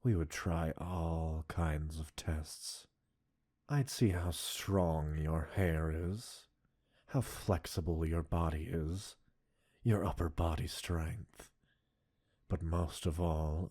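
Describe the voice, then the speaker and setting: A man playing an obsessive, coolly possessive mad-scientist captor in an intimate roleplay audio, speaking with deliberate, teasing dominance and a breathy huskiness. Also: a bass voice, fairly even intonation, dark tone, exaggerated voice. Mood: possessive, seductive. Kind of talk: intimate scripted roleplay addressed to listener.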